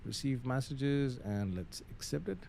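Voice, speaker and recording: A man's voice: medium pitch, animated, a little slow, creaky, dark tone. A man giving casual live-stream commentary on a flight simulator, muttering through procedures hesitantly with some radio phraseology. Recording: faint background noise.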